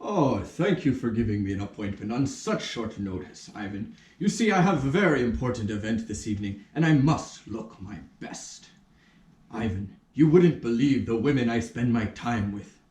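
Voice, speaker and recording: Pompous, animated major played by a man. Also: mid-range voice, moderate pace, a little hiss or hum, natural voice. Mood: boastful. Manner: pompous, boastful, theatrical. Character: vain, pompous 37-year-old male major, puppet. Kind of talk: puppet theatre acting. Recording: roomy, distant rehearsal recording.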